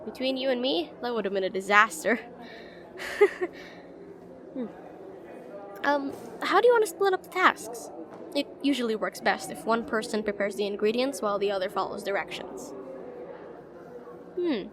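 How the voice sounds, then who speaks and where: A woman's voice: a little high, lively intonation, normal speed, long pauses, lightly acted. A woman playing a shy, rambling young witch student in a slice-of-life fantasy audio roleplay, chatty, hesitant and self-deprecating. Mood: nervous, friendly, then sheepish, playful. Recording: voice over busy classroom crowd ambience.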